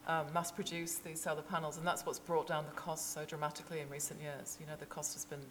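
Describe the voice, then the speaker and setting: A woman's voice: sits low, little pitch movement, fast talker. A woman author on a discussion panel, speaking hesitantly with many fillers. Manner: hesitant, many ums and restarts. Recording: long hall reverb, faint background noise.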